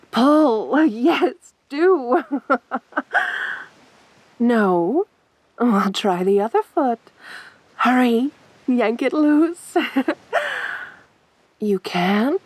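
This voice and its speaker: Woman playing a slow, breathy, teasing predatory siren. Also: fairly high pitch, full character voice. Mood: gloating, cruel. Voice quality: soft, breathy. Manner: taunting, drawn-out coaxing with mock sympathy. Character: sadistic, teasing female siren, a winged predator. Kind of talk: ASMR roleplay monologue.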